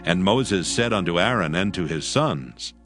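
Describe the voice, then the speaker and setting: A man narrating scripture in a steady, reverent, measured way for a dramatized audio Bible. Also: sits low. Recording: narration over clear music. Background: music.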